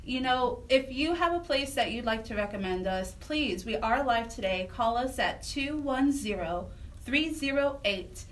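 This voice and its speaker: Higher-voiced female radio co-host, slightly distant. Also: expressive, measured pace, echoey room. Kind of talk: casual talk radio chat and interviewing. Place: radio show, live. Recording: distant mic, noticeable background noise.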